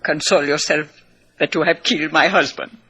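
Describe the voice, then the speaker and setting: An actress playing a crook's proud, hostile wife in an old radio drama, in stiff, dramatic English with a Spanish accent. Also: female voice, deep voice, fairly even intonation, moderate pace, bright, forceful tone. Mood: bitter, demanding. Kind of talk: 1950s radio drama acting.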